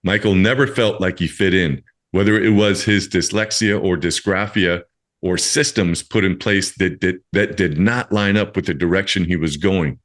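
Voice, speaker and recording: A man's voice: low voice, steady tone, normal speed, fairly bright tone. A man hosting a podcast in an effusive, encouraging way, moving between scripted introduction and casual chat and addressing listeners directly. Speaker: podcast host.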